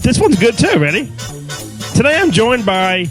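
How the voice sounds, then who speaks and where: A man's voice: extremely high pitch, big pitch swings, normal speed. A man hosting a podcast in casual, joking style, with goofy, crude humour. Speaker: podcast host introducing the show. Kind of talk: casual joking panel chat. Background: music.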